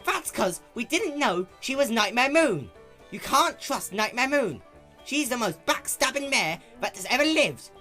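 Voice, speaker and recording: A man's voice: extremely high pitch, very animated, measured pace, bright, forceful tone, soft background music, voice pushed up, full character voice. A man voicing a cranky, distrustful old granny pony, snapping in folksy phrases for a story reading. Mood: indignant.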